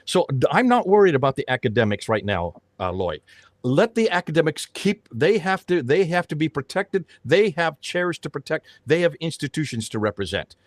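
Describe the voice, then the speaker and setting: A man's voice: a little high, big pitch swings, moderate pace, no room sound, clean studio sound. A middle-aged man hosting a panel discussion, speaking emphatically and repeating phrases for stress. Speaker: host of a panel discussion, street preacher. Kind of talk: animated casual panel talk.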